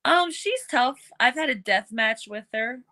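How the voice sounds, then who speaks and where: Bright-voiced brash New York woman. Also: high-pitched, expressive, measured pace. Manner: laughs often, brash and playful. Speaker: professional wrestler guest. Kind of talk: casual podcast interview.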